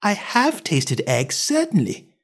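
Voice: mid-range voice, huge pitch swings, slow pace, breathy edge, pitched above the performer's own voice, heavily acted. A storybook reader voicing a polite, puzzled little girl who is earnest, wondering and easily indignant. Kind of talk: storybook character acting.

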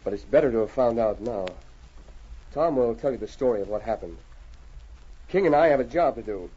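An actor playing a steady, calm and authoritative Mountie sergeant in a 1940s radio drama. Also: male voice, mid-range voice, a little fast, slightly rough. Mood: calm, kindly. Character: steady, commanding Mountie sergeant, a heroic lawman. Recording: noisy, dull old radio transcription.

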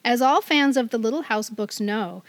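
A woman's voice: a little high, moderate pace, no room sound. A middle-aged American woman co-hosting a podcast, chatting casually and reading out magazine items. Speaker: podcast co-host.

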